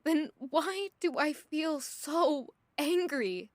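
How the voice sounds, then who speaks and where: A woman's voice: sits high, expressive, very slow, no room sound, exaggerated voice. A woman playing an earnest, wounded young noblewoman with an emotive delivery in an audio drama. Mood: bitter, angry.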